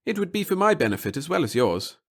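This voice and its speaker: A man's voice: a little high, big pitch swings, fast, fairly bright tone, voice pushed up, exaggerated voice. A man voicing a small, nervous, fussy bureaucrat in an audiobook, speaking in formal, wordy, obsequious sentences. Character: little wizened fussy nervous male bureaucrat with hidden cunning. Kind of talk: audiobook character acting.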